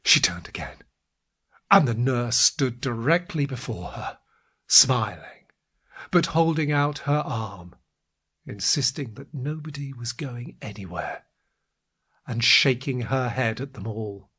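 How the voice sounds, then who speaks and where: Husky man narrating dramatic fiction. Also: mid-range voice, expressive, slow, pushed and bright. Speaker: audio story narrator. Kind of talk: dramatic literary story narration.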